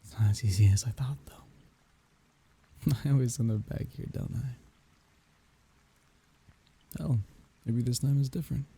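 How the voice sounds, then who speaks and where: A grieving man played by a male voice actor murmurs an intimate farewell to his dead lover. His voice is very dark and mellow, thick with breathy husk and gravel. He speaks haltingly, tender and wry, in the close style of an ASMR audio drama. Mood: wistful, wry. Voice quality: husky, breathy, gravelly low voice.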